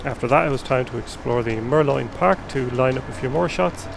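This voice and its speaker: An Irish man talks through his travel diary in a relaxed, dry tone, poking fun at himself and letting the odd curse slip. His voice sits at an ordinary middle pitch. Music plays clearly underneath him. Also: fairly quick. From Ireland. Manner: wry and self-deprecating, with the odd swear. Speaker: vlogger and film photographer. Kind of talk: casual travel vlog narration.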